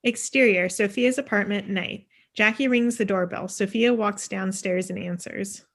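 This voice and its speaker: A woman's voice: mid-range voice, normal speed. A young woman reading scene headings and stage directions as scripted narration on a video call.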